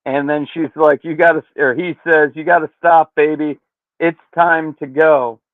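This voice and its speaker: A man's voice: a little high, steady tone, a little slow, no room sound. A man hosting a podcast, casually recapping a story in slangy, profane language, with thin phone-like sound. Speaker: podcast host.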